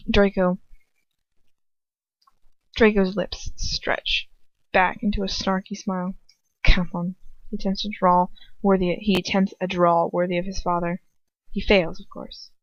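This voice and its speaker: A woman reading first-person fiction aloud as an amateur audiobook narrator, in an expressive storytelling style. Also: mid-range voice, unhurried, long pauses, dry recording. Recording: dull lo-fi dry home recording.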